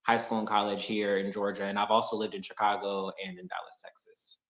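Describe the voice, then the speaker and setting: A man from Pittsburgh joins a live dating show as a caller and talks in a polite, friendly, measured way. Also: on the low side, level tone, a bit bright. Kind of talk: casual video-call chat. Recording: echoey, dull video-call audio.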